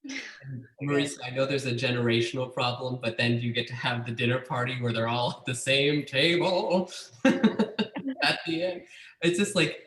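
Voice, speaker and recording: Man moderator, mid-pitched, chatty, laughs easily, roomy echo. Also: moderate pace, fairly bright tone. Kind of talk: casual online talkback.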